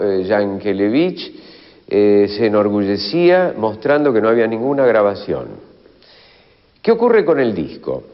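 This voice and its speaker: A man giving emphatic explanatory commentary, posing rhetorical questions. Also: medium pitch. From Argentina. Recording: reverberant hall, dull sound, faint music.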